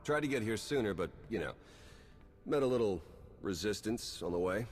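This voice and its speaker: An actor playing a wry, battle-worn spy in a video game, talking casually in clipped sentences. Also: male voice, on the low side, animated, moderate pace, faint music behind, lightly acted. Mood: wry, weary. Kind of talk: dramatic video game dialogue. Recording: long reverb, background hum and ambience.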